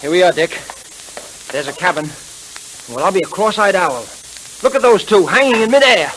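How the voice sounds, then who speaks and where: An actor playing a feisty, teasing detective's sidekick with folksy exclamations in a 1930s radio serial, on a hissy recording. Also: male voice, high-pitched, lively intonation, normal speed, a bit bright, poor audio. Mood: eager.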